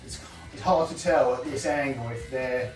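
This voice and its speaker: A man's voice: mid-range voice, normal speed, faint music behind. A man presenting a casual hands-on bike review, thinking aloud in an informal way. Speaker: bike restorer presenting his video. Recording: roomy echo, voice drifting from mic.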